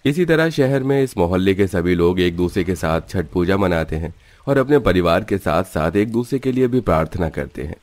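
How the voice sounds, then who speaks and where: A man's voice: deep voice, animated, moderate pace, a little mellow, no room sound, soft background music. A man narrating a scripted cartoon story in a dramatic storybook manner.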